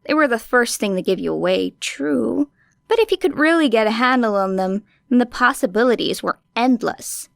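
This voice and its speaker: A woman's voice: a little high, animated, normal speed, vocal fry, dry recording, studio quality. A woman reading a story expressively as an audiobook narrator. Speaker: audiobook reader. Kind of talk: expressive scripted fiction narration.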